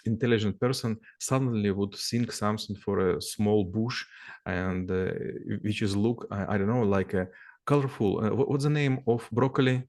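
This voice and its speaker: Middle-aged man, low and gravelly, halting and word-searching. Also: a little mellow. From former Soviet Union. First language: Russian. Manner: halting, searching for English words, many fillers and restarts. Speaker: guest answering questions. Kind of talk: casual conversation in a second language.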